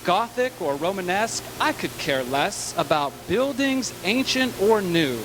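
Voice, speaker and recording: Man as stern storybook schoolteacher, high voice. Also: sing-song, a little slow, background noise, full character voice. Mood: stern, dismissive. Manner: strict, lecturing. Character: stern, dismissive female second-grade teacher. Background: musical accompaniment.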